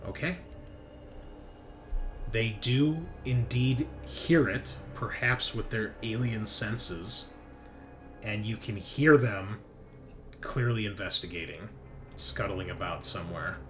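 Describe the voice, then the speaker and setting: A man's voice: on the low side, slow pace, long pauses, a bit bright. A man improvising narration as game master of a tabletop roleplaying game, recorded with faint noise behind him.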